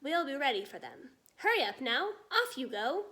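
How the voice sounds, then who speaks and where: Lady reading as a storybook queen, brisk, polite, in charge, high lively voice. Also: exaggerated voice. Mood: reassuring. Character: tactful, commanding, composed storybook queen.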